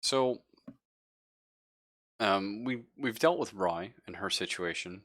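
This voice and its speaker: A man's voice: on the low side, takes it slowly, a bit bright, dry recording, studio quality. A man acting as game master in a casual live tabletop roleplaying session, easygoing and encouraging as he thinks aloud.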